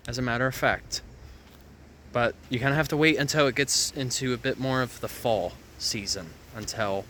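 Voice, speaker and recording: A man's voice: medium pitch, sharp tone, slight background noise. A man leading an outdoor nature walk, explaining plants in a chatty way and cracking puns at his own expense. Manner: chatty, self-amused with puns and asides. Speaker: nature guide. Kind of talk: outdoor educational walk-and-talk. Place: outdoors in a Florida hammock woodland.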